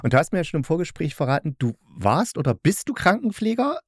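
A man's voice: fairly high pitch, sing-song, quick pace, slightly rough, dry recording, clean studio sound. A man hosting a podcast interview in a casual, conversational style.

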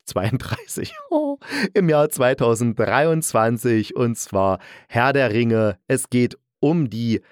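A man with a mid-pitched voice presents a solo review podcast. He chats loosely and rewords himself along the way, close to the microphone with no room sound. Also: big pitch swings, takes it slowly, dry recording. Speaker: podcast host and audio drama critic.

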